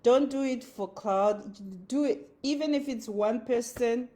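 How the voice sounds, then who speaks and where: A woman's voice: a little high, slow, mellow. A woman with a Zimbabwean accent giving an earnest motivational talk, repeating her phrases for emphasis. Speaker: motivational speaker. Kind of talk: motivational monologue.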